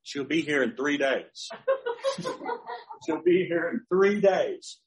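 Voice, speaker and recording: Older male pastor, folksy storyteller, a bit high-pitched, animated delivery. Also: slow, slight background noise, rough recording. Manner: folksy storytelling, chuckling asides. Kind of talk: sermon with personal anecdotes.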